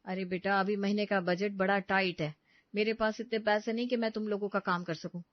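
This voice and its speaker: A woman acts out a young Indian housewife and mother in an audio story, patient but weighed down. The pitch is moderate and the sound is dry and a little muffled. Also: steady tone, a little fast, no echo, natural voice. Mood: gentle, weary. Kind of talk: dramatised dialogue in an audio story.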